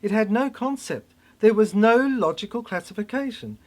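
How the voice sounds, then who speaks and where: A narrator performing a theatrical spoken-word monologue in an emphatic way, with halting repeats. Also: medium pitch, lively intonation. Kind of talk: dramatic spoken-word narration of a cryptic science-fiction monologue.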